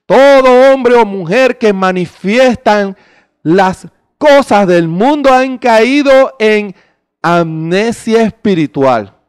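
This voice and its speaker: Man, middle-aged, preacher, slow delivery, dry close sound, handheld mic, emphatic sermon. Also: high-pitched, clear, resonant tone, no echo. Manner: emphatic preaching with rhetorical questions. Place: small indoor stage before a curtain.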